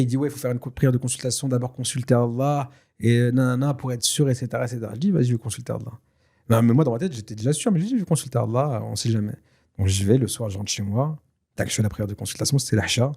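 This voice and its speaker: Fast-talking man telling his story. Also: mid-range voice, slightly rough. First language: Tashelhit. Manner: lively storyteller with many fillers and restarts. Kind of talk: casual spoken testimony.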